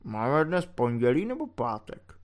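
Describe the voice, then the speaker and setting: A man's voice: medium pitch, big pitch swings, close to an everyday voice. A man reading an audiobook voices a drunk, maudlin middle-aged army chaplain who rambles, whines and pleads. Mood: tearful chatter. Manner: slurred, whining, rambling drunk speech. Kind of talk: audiobook character voice.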